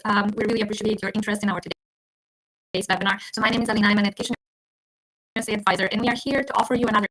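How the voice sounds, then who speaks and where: A woman's voice: medium pitch, fairly even intonation, racing, long pauses, fairly bright tone, breathy edge, low-quality recording. A woman education advisor hosting a live webinar, friendly and talking with many fillers and restarts.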